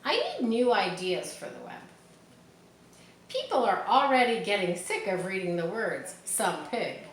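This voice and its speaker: A woman voicing a clever, patient female spider who runs a barnyard meeting in a crisp, slightly exasperated manner. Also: medium pitch, sing-song, a little slow, reverberant. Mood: brisk.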